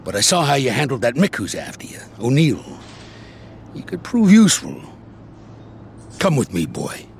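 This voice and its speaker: A commanding, gravelly older mob boss, blunt and dry, faint noise behind. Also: male voice, medium pitch, wildly swooping pitch, normal speed, long pauses. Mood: calm.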